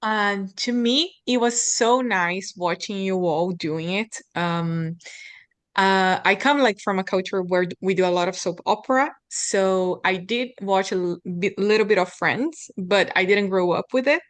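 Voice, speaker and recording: A woman's voice: mid-range voice, unhurried. Woman giving casual, reflective feedback.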